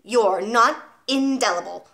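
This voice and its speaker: A woman's voice: a little high, unhurried, gravelly. Woman putting on a domineering, sneering headmistress. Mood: menacing. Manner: barking, shouting, contemptuous. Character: huge, domineering, cruel headmistress, sneering and furious. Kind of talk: children's storybook read aloud.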